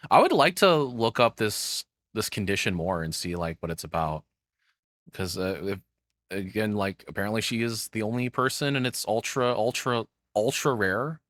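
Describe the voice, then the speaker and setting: A man's voice: lowish voice, lively intonation, moderate pace, a bit bright, dry recording. A man giving casual live reaction commentary as a streamer, rambling and swearing often, close to the microphone.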